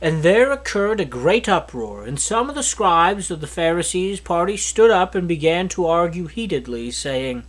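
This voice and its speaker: A man reads scripture aloud, his pitch rising and falling in a lively, animated way. The delivery is steady and earnest, with the occasional stumble he corrects on the spot. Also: moderate pace, few pauses. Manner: steady, earnest reading with small slips and restarts. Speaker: scripture reader. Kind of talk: reading a Bible chapter aloud.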